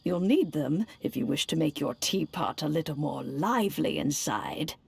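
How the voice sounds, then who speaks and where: An actress playing a gentle elderly immortal granny in a video game, speaking in old-fashioned, unhurried phrases with fond chiding. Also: female voice, deep voice, animated, creaky, heavy background noise, full character voice. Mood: gentle, helpful.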